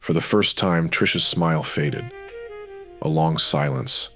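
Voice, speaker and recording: An actor playing the brooding narrator of a pulp noir audio drama, terse, dramatic and ominous. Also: male voice, extremely low pitch, slow, a bit bright, faint music behind, full character voice. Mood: tense, grave.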